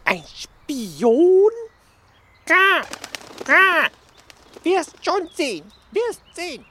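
A woman playing a boastful, scatterbrained male crow in a German radio play, repeating phrases in an excitable bird manner. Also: extremely high pitch, extremely slow, slight background noise, heavily acted. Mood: insistent. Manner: repeats phrases, squawking interjections.

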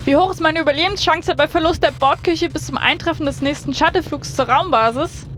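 A woman playing a terse, sardonic space station captain in a science fiction audio drama, brisk and dry in her commands. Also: high-pitched, lightly acted. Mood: tense, dry. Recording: music bed behind voice.

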